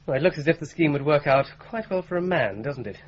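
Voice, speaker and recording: An eager, slightly hesitant young detective, voiced by a man in an old radio play, talking fast in a fairly high voice. The sound is dull and lo-fi, with a faint hiss. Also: lively intonation, natural voice.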